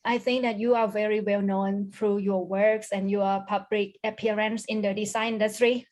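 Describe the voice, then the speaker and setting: A young woman hosting a student podcast, with a polite, measured way of asking questions. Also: mid-range voice, level tone, darkish tone. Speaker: podcast host. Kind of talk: scripted intro then interview questions.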